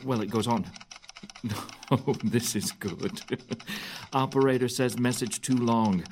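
An actor playing a commanding, hearty general and wry, fond husband, speaking in a declamatory way in an audio drama. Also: male voice, medium pitch, takes it slowly, noisy background. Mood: amused, fond.

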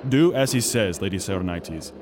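A man's voice: low voice, animated, normal speed, music in the background. A man playing a terse, grave ship captain in an audio drama. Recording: background noise.

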